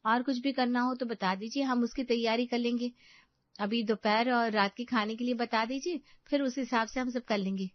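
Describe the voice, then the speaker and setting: Casting: woman as young daughter-in-law, eager and respectful, highish voice, family audio drama, slightly muffled sound. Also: steady tone, normal speed, no echo, natural voice. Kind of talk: Hindi family story acting.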